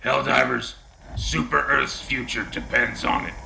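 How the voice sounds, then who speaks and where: A man's voice: fairly even intonation, a little slow, vocal fry, bright, forceful tone, exaggerated voice. A man playing an urgent, commanding military field reporter in a scripted wartime radio drama. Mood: urgent, resolute. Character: gruff urgent military war correspondent called Bulldog. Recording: roomy, noisy battlefield ambience with vehicle rumble.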